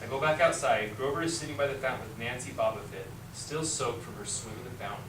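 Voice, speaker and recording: A man's voice: mid-range voice, fast talker, lightly acted. A man playing a troubled, put-upon twelve-year-old schoolboy who tells his story earnestly in amateur reader's theater. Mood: confused. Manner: earnest first-person storytelling. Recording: echoey room, distant mic, clear noise.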